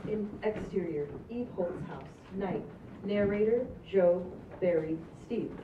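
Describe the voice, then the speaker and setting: A woman's voice: mid-range voice, slow pace, a little hiss or hum. A woman reads the scene headings and actions of a script aloud, plain and matter-of-fact. She sounds far from the mic, with a roomy echo and muffled, lo-fi sound. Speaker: stage directions reader. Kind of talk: reading script stage directions. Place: small stage with green backdrop. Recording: distant, roomy, dull audio.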